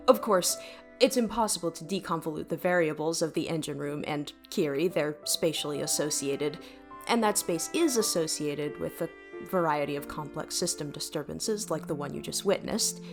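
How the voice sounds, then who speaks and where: A woman's voice: sits low, normal speed, fairly bright tone, faint music behind. A woman playing a vain, witty and easily flustered starship computer in an audio drama, talking in a wordy, technical way. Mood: puzzled, explaining.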